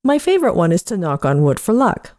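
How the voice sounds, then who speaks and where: Lively woman, dry close sound. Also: on the low side, brisk, no room sound. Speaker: podcast segment presenter. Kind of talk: scripted storytelling narration.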